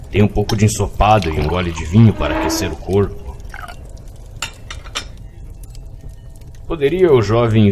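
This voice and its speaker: Man playing elderly innkeeper, low voice, theatrical storyteller, kindly, audio drama, faint music behind. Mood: welcoming. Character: kindly lonely old innkeeper telling tales by the fire. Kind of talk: dramatic fairy-tale narration in an audio drama.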